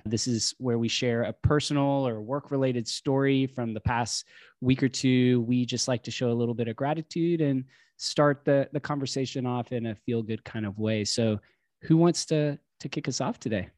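A man's voice: medium pitch, a little mellow, dry recording, studio quality. A man hosting a podcast, chatting casually and sounding friendly, often restarting his phrases. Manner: friendly, filler-heavy, frequent restarts. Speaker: podcast host.

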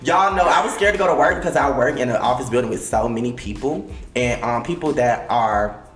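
A man's voice: mid-range voice, brisk, fairly bright tone, reverberant, faint music behind. A young man from Miami hosting a casual podcast, chatty and gossipy, swearing freely. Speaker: podcast host.

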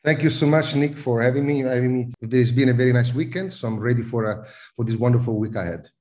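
A man's voice: medium pitch, quick pace, dark tone. A middle-aged man with an Italian accent, a guest in a podcast interview who often hesitates and repeats words. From Italy. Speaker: guest, cruise industry advisor.

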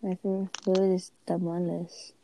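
A woman's voice: on the low side, little pitch movement, dark tone, slight background noise. A teenage girl chatting casually with friends and laughing often. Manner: giggly, quick to laugh. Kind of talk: casual chat.

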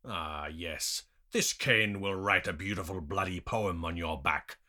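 A man's voice: sits low, a little slow, sharp tone, exaggerated voice. A man voicing a cruel male captor in a story, commanding, with a taunting, snarling delivery. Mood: menacing.

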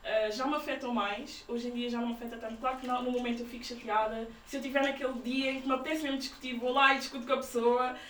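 Roomy, higher-pitched young Portuguese woman. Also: fairly even intonation, very fast, hardly any pauses, fairly bright tone. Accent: Lisbon-area Portuguese. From Portugal, Sintra area. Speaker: podcast guest. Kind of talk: casual conversational interview. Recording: roomy, distant mic, faint noise.

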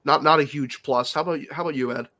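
A man's voice: on the high side, rapid-fire, pushed and bright. A congested man co-hosting a casual sports podcast, rambling with plenty of filler words. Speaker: podcast co-host.

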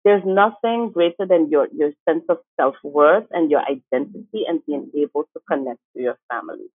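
A woman's voice: sits low, normal speed, slightly rough, no room sound. A woman from St. Martin, a teacher, speaking earnestly and emphatically as a guest in an interview. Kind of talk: conversational interview. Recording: tinny phone-like line.

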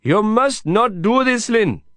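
A man voicing a proud, desperate male prisoner, pleading loudly and insistently through a fence. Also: sits high, animated, normal speed, clear, resonant tone, no echo, clean studio sound, pitched above the performer's own voice. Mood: defiant. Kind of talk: audiobook character voice.

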